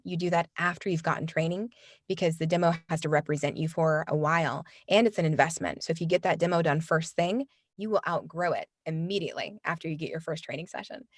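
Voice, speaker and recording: A woman's voice: sits low, no echo, studio quality. A woman who coaches voiceover artists, talking earnestly in a casual interview and often restarting her sentences. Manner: earnest, many restarts and fillers. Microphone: studio microphone.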